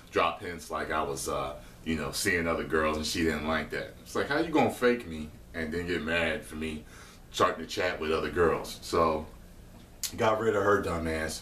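A low-voiced man in his thirties rants to camera in slangy, profane street talk, full of asides to his viewers. He sits a few feet from the camera in a small kitchen, so the voice sounds roomy and a little distant, with a faint hiss under it. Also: a bit bright. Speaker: vlogger. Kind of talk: casual storytelling to camera. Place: small home kitchen, indoors. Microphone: camera a few feet away. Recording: roomy echo, voice a bit off-mic, faint hiss.

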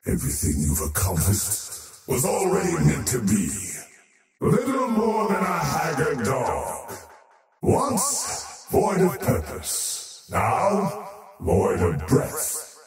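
An actor playing a faceless oathbreaking usurper, a cosmic video game villain who declaims with haughty menace and scorn for mortals. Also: male voice, fairly high pitch, wildly swooping pitch, takes it slowly, heavily acted. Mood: scornful, haughty. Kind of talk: theatrical game villain voice lines. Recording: heavily echoing, distant-sounding, over music. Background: music.

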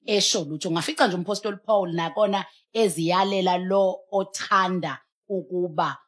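A woman's voice: on the low side, moderate pace. A South African woman speaking as a pastor on a studio talk show, emphatic and exhorting, mixing in English. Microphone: headset.

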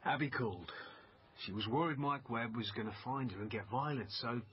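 A defensive man under suspicion played by an actor in a radio crime drama, insistent in manner. His pitch is middling, and the recording is a little dull with faint noise. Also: brisk, natural voice. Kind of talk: naturalistic British radio drama acting.

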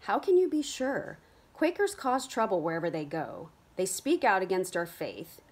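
A woman reading the lines of a serious, cautious middle-aged Puritan aunt who gently admonishes her niece. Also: medium pitch, big pitch swings, moderate pace, slightly rough.

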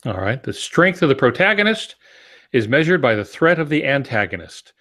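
A man's voice: mid-range voice, big pitch swings, normal speed, a bit bright. A man in his sixties giving casual writing advice with dry humor on a video-call podcast. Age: 60s. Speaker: podcast co-host, novelist. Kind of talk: casual teaching talk with dry jokes. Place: home office, indoors. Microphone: earbud headset on a video call.

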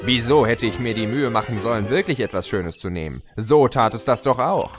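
A man's voice: medium pitch, lively intonation, a little fast, over music, natural voice. A man playing a sly, gloating spirit of chaos in a German audio drama, mocking and theatrical. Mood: smug narrating.

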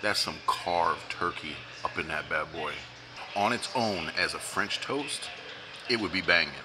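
A man's voice: low voice, a little slow, noisy background. A man, reviewing food in a casual, joking vlog while eating at a restaurant. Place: restaurant dining room, indoors.

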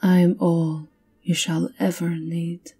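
Woman acting as ancient vampire countess, very dark breathy voice, slow, haughty, possessive, ASMR roleplay, music behind. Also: on the low side, little pitch movement, exaggerated voice. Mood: seductive, possessive. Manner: imperious, slow, teasing, often dropping to breathy whispers.

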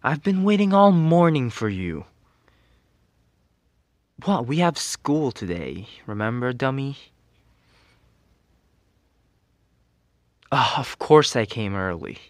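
Tsundere schoolboy best friend , male actor: mid-pitched, slow delivery, exasperated scolding, grumpy teasing, ASMR roleplay. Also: very animated, no room sound, studio quality. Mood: impatient, grumbling. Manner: exasperated, whiny scolding with sighs.

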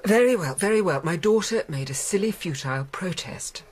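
A woman's voice: lowish voice, animated, brisk, breathy edge. An actress playing a proud, grieving British mother in a radio drama, restrained but emotional. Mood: defiant grief. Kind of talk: BBC radio drama acting.

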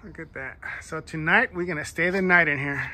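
A man's voice: fairly high pitch, brisk, pushed and bright, slight background noise. A man filming a casual vlog outdoors on his phone, talking eagerly and plugging a shop. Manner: enthusiastic, promotional. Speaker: vlogger. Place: outdoors at a warehouse lot and a driveway. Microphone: phone camera held by speaker.

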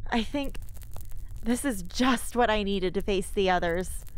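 A woman's voice: medium pitch, unhurried, smooth, close to an everyday voice, low-quality recording. A young woman playing a blunt, guarded teenage girl in an amateur radio drama, with a terse, dry delivery. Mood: impressed, dry. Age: young adult. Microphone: close studio microphone.

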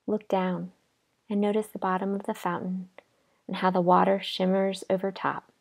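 A woman leading a guided imagery relaxation exercise in a calm, gentle, unhurried manner with pauses. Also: medium pitch, vocal fry. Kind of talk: guided imagery meditation narration.

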